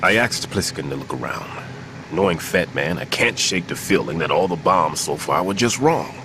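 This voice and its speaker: A grave older bomb expert. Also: male voice, sits low, animated, noisy background. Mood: tense, focused. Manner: grave, confessional, measured. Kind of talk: video game cutscene acting. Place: recording studio. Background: game score music.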